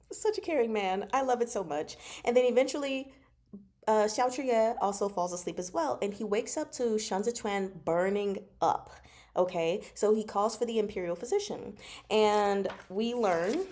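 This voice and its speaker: A woman giving a casual, chatty book recap as a host, expressive and emphatic, often checking in with the listener. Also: mid-range voice. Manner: expressive, conversational, emphatic, often checks in with "okay?" and "you know". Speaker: host of a book discussion vlog.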